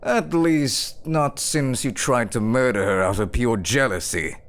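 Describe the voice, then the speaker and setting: Man acting a slow, sneering scheming duke. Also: medium pitch, a bit bright, clean tone, lightly acted. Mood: mocking, accusing. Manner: pompous, theatrical, drawn-out taunting. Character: scheming, sneering duke, a smooth-tongued villain. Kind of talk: fantasy audio drama acting.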